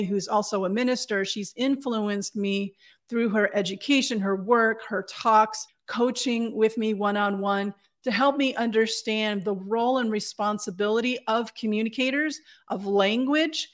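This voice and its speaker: Earnest, articulate woman podcast guest. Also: medium pitch. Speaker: communications consultant, podcast guest. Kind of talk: conversational interview answers.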